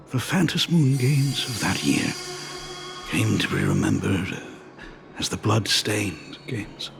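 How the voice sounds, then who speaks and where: A man voicing a grave, courteous older academy dean and bereaved father in a video game, gravelly, formal and sorrowful. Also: lowish voice, full character voice. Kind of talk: video game dramatic dialogue.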